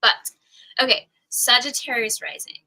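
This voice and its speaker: A woman's voice: on the high side, fairly even intonation, measured pace, sharp tone, low-quality recording. A woman teaching a live astrology class in a casual, chatty way, full of fillers and frequent laughs.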